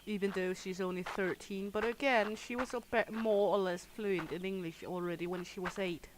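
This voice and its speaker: A woman's voice: mid-range voice, steady tone, moderate pace, crowd noise, poor audio. A Danish woman with a Danish accent, chatting casually to her viewers while she plays, laughing often. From Denmark. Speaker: gaming streamer. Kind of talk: casual livestream commentary.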